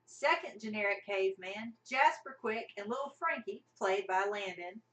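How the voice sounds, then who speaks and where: A woman's voice: medium pitch, animated, moderate pace, fairly bright tone. A woman narrating a scripted comic radio play as part of an amateur cast. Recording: roomy, mic at a distance.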